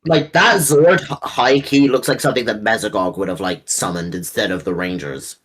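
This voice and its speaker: A man's voice: lowish voice, moderate pace, a bit bright, a little hiss or hum. A playful, joking man co-hosting a casual chat, often repeating himself. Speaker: co-host.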